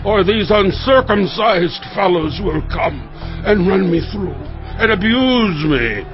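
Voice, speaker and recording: A man's voice: high voice, animated, takes it slowly. A man playing a proud, wounded ancient king, desperate and anguished, in an audio Bible drama. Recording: dull audio with music behind.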